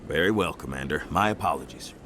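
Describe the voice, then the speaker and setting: An actor playing an eager, polished, stubbornly nationalist politician in a video game, speaking in an oratorical, politely insistent way. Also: male voice, rumbling low, lively intonation, fast talker, fairly bright tone, slight background noise. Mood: curt, stiff. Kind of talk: video game dramatic dialogue. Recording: music behind the voice.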